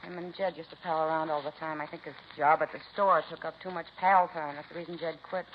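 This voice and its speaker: An actress playing a bitter, blunt working-class wife who complains in slangy speech, in 1950s radio drama style. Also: female voice, deep voice, steady tone, fast, natural voice. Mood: bitter, fed up. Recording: muffled, noisy 1950s radio recording.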